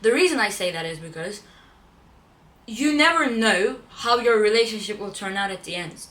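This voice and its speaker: A woman's voice: medium pitch, lively intonation, moderate pace, fairly bright tone, recorded from a distance. A young woman from Turkey whose first language is Turkish, talking casually to camera, chatty and often restarting her phrases. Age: young adult. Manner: chatty, repeats and restarts phrases, self-correcting. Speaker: vlogger. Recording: echoey room, voice a bit off-mic.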